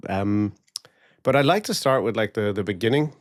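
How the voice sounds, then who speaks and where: A man's voice: fairly low pitch, expressive, moderate pace, no echo, studio quality. A man hosting a podcast interview, chatty and upbeat with many fillers and restarts. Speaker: podcast host.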